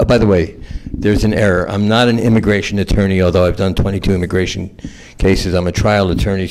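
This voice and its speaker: A man's voice: sits low, vocal fry, a little mellow. A man who works as a trial attorney, speaking earnestly at a panel with fillers and self-corrections. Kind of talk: panel remarks.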